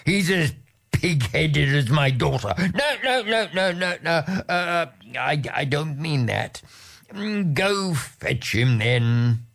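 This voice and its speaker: A storyteller voicing a blustering, self-pitying old king who talks in a shrill, flustered way and stammers when rattled. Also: sing-song, takes it slowly, fairly bright tone, heavily acted.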